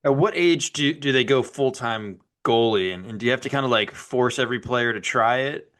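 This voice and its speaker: A man's voice: medium pitch, normal speed, fairly bright tone. A man hosting a podcast, asking questions in a casual, upbeat way with frequent restarts. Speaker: podcast host. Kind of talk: podcast interview and ad read.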